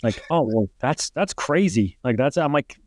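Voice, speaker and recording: A man's voice: medium pitch, expressive, normal speed, darkish tone, dry recording, clean studio sound. A middle-aged man with a New York accent, a retired fire captain telling rambling stories as a podcast guest and acting out what people said. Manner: rambling, heavy use of "like", acts out quotes. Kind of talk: casual podcast storytelling.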